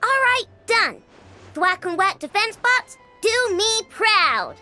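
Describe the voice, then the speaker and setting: A woman's voice: extremely high pitch, big pitch swings, slow pace, sharp tone, soft background music, heavily acted. A woman playing a confident, spirited and stubborn young girl inventor in an anime-style video game, sounding cocky and eager. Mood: proud, eager.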